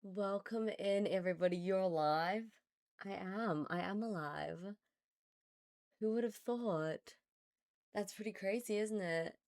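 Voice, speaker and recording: A woman streamer with a hoarse, raspy voice, recovering from losing it, chatting casually with her live chat and greeting viewers by name. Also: mid-range voice, unhurried, darkish tone. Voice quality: raspy, slightly gravelly.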